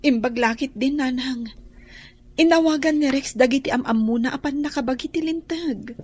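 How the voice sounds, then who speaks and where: A woman's voice: high voice, moderate pace, darkish tone, husky. A woman with a Filipino accent playing an earnest young daughter in a radio drama.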